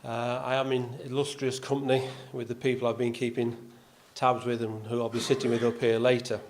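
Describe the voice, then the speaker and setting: A man's voice: mid-range voice, level tone, fairly quick, darkish tone, a little hiss or hum. Dry-humoured older Yorkshireman giving a talk. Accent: faint Yorkshire. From Yorkshire, England. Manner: dry self-deprecating humour, many "uh" fillers. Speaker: golf club vice captain giving a talk. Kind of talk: speech to an audience. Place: clubhouse function room.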